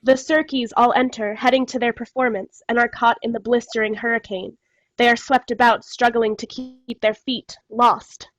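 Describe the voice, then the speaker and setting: A woman's voice: fairly high pitch, little pitch movement, normal speed, low-quality recording. A woman reading stage directions as scripted dramatic narration on a video call.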